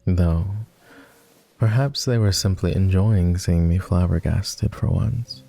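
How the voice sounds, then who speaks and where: A man voices a refined, doting vampire gentleman for romantic roleplay audio. His voice is very low with a gravelly creak, and he speaks in slow, formal phrases. He is courtly and affectionate, with a gentle teasing streak. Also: soft background music, exaggerated voice. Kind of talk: romantic roleplay ASMR acting.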